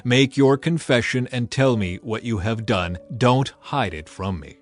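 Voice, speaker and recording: A man's voice: medium pitch, measured pace, soft background music, natural voice. A man playing a grave, fatherly Israelite military leader who speaks with solemn authority in an audio Bible drama. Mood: stern, solemn.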